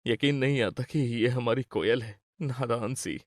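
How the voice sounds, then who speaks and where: An actor playing a caring, gentle old grandfather in a cartoon moral story. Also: mid-range voice, a little slow, dry recording, studio quality, lightly acted. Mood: proud, tender. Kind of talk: acted Hindi moral-story cartoon dialogue.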